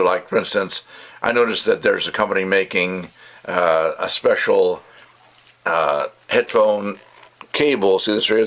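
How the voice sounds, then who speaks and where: A man's voice: low-pitched, a bit bright. A middle-aged man giving a casual, unscripted hands-on product review, rambling and thinking aloud as he goes. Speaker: audio gear reviewer.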